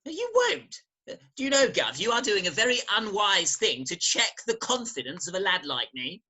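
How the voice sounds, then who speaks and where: An actor plays an unruly, upper-class British youth in a theatrical farce. The voice is bright and very animated. He wheedles and schemes with breezy, cheeky confidence. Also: medium pitch, moderate pace, breathy edge, heavily acted. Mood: wheedling, cocky. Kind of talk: theatrical staged reading of Victorian farce. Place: home, recorded remotely.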